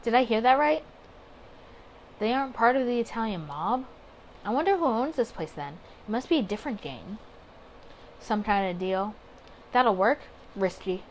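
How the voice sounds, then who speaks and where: A woman's voice: a little high, animated, moderate pace, long pauses, a little hiss or hum, low-quality recording. A woman doing a casual game playthrough, chatting expressively as she reads story text aloud and reasons through her reactions. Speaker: gaming video creator.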